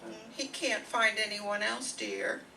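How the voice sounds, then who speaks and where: A woman playing a brisk, managing housewife in an old-time radio sitcom, persuasive and take-charge. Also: mid-range voice, fairly even intonation, vocal fry, bright, forceful tone, far from the mic, full character voice, poor audio. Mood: coaxing.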